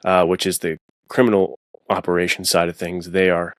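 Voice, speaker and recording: Low-pitched guy on a podcast, talking books super casual and slangy, really informal. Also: little pitch movement, moderate pace, no echo, clean studio sound. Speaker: author guest.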